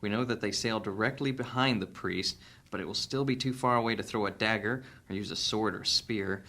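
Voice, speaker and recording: Casting: shrewd adventurer, fantasy audio drama, fairly deep, deliberate and resolute. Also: male voice, pushed and bright. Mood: focused, urgent.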